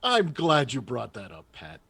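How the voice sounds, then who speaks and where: Male actor as political chief of staff, husky, very animated intonation, combative, confident, polished, radio drama. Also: mid-range voice, unhurried, dry recording, studio quality, heavily acted. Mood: earnest. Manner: assertive, polished politician's delivery. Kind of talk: radio theater acting, political interview answers.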